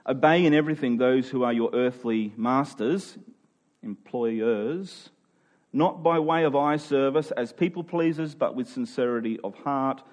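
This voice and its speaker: A man's voice: medium pitch, unhurried. A man preaching in a conversational, expository way, informal and emphatic, often checking in with his listeners.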